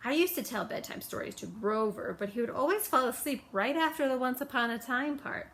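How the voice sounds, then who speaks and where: A woman's voice: sits high, brisk, fairly bright tone. A woman voicing a kindly, gracious mother monster in a bedtime storybook reading.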